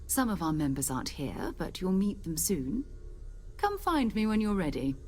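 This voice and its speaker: A woman's voice: on the low side, very animated, normal speed, faint music behind. An actress playing a confident, focused woman who leads space explorers in a video game, composed and encouraging. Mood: assured, welcoming. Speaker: leader of an explorers' group. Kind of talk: video game character dialogue.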